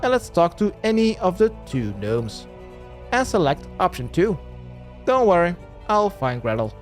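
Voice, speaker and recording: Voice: medium pitch, sing-song, a little slow. A game guide narrator giving step-by-step walkthrough instructions and addressing the viewer directly. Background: music.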